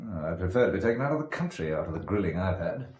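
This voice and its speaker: A man's voice: deep voice, sing-song, very fast, a little mellow. An actor playing a guarded, sardonic English businessman in a radio drama, with an English accent and a clipped, evasive politeness. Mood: wary, suspicious.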